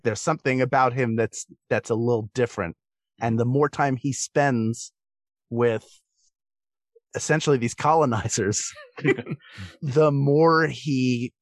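A man speaking as a guest on a discussion podcast, thinking through his phrases with a halting delivery. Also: medium pitch, no echo. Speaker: guest voice actor.

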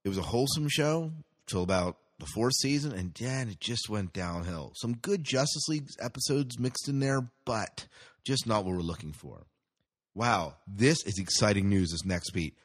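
Male podcast host, American, low husky voice, casual and unpolished, self-correcting delivery. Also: expressive, moderate pace. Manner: informal, hesitant, self-correcting. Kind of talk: casual sci-fi news and movie segment talk.

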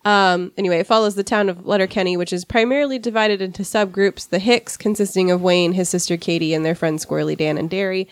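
A woman's voice: medium pitch, fairly even intonation, fast, hardly any pauses, clean tone, no room sound. Sniffly woman podcaster with a cold. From rural Washington, USA. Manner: chatty, with frequent "um" and wry asides. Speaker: podcast host. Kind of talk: casual podcast discussion.

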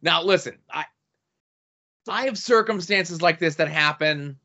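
A man co-hosting a podcast in casual chat, with a big loud voice and a blunt, profane way of talking. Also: on the high side, a little slow. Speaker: podcast co-host. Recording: dull lo-fi podcast audio.

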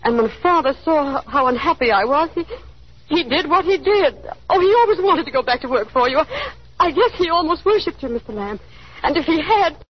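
Radio drama role: self-blaming young peacemaker daughter, high voice, earnest appeals, dull vintage broadcast. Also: female voice, expressive, a little fast. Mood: earnest, confessing. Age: young adult. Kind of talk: 1930s radio drama acting. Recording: muffled, noisy 1930s radio broadcast recording.

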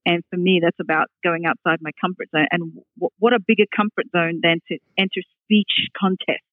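A woman's voice: fairly low pitch, steady tone, a little fast, no echo. A middle-aged woman with an Australian accent, a public speaking coach answering interview questions in an articulate, upbeat way. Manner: articulate, upbeat, laughs readily. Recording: tinny phone line, dry.

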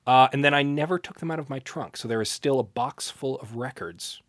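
Male co-host, medium pitch, deadpan casual talk, no room echo at all. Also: brisk. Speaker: podcast co-host. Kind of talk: casual chat.

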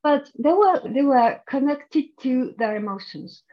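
A woman's voice: high voice, animated, unhurried, highs cut off. A middle-aged woman with a French accent, a guest coach explaining her ideas thoughtfully in a podcast interview, often restarting her sentences. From France.

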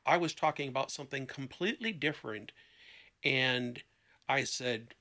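A man's voice: mid-range voice, normal speed, sharp tone. A man speaking as a therapist guest in an interview, explaining in a conversational way with examples. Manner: explanatory, illustrating with anecdotes and quoted dialogue.

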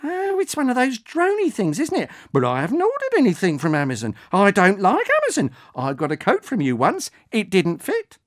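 A narrator voicing a cantankerous, swearing woman of seventy-four, high and indignant. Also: wildly swooping pitch, a little fast, few pauses.

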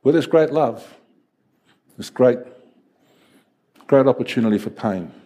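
Middle-aged pastor guy, greek first language, preaching with big swings up and down, bit husky, fairly high voice. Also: unhurried. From Greek heritage, first language Greek. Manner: animated, storytelling with acted voices. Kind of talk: sermon.

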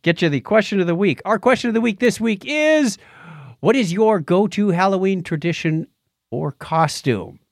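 A man's voice: high voice, lively intonation, normal speed, creaky, no echo, studio quality. A middle-aged man with an American accent hosting a podcast, telling anecdotes in a casual, chatty way. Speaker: podcast host.

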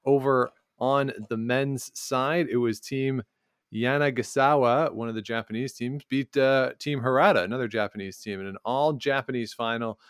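A man's voice: medium pitch, fairly even intonation, moderate pace, smooth, no echo, clean studio sound. A man with a Canadian accent co-hosting a casual sports talk show and recapping results. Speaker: podcast co-host.

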